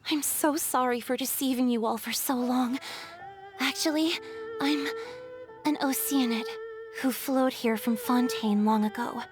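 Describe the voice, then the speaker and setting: A woman voicing a gentle, wistful female water spirit in a video game, speaking earnestly like a storyteller. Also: sits high, unhurried. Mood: wistful confession. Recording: background music under dialogue.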